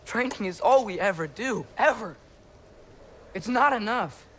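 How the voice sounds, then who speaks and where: High-voiced restless teenage boy. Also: animated, measured pace, fairly bright tone, slight background noise. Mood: frustrated, urgent. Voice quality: youthful, light. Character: restless, earnest teenage boy, questioning his father. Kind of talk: cinematic video game acting.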